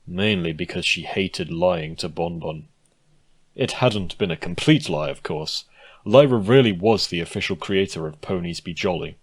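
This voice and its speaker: A man's voice: deep voice, breathy edge. A man reading a scripted story aloud as its narrator. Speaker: fan fiction narrator.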